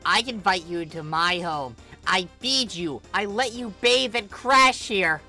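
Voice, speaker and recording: Woman voicing a furious, sharp-tongued big sister. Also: mid-range voice, animated, measured pace, soft background music. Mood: furious, sarcastic. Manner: scolding, sarcastic, emphatic. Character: furious protective older sister, cutting, sarcastic, foul-mouthed.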